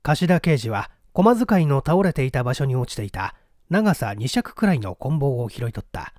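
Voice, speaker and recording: Male audiobook narrator, mellow and dry-sounding, dramatic storytelling style. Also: mid-range voice, very animated, moderate pace, dry recording.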